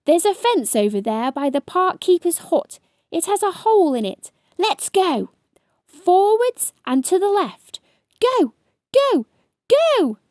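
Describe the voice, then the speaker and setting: A woman voicing a small, bossy female ladybird who shouts urgent orders. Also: very high-pitched, very animated, slow pace, no echo, clean studio sound, voice pushed up, exaggerated voice.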